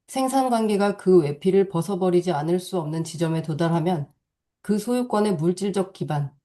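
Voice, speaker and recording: Brief: female seminar participant, warm mellow tone, steady read-aloud. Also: medium pitch. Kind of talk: reading a text aloud.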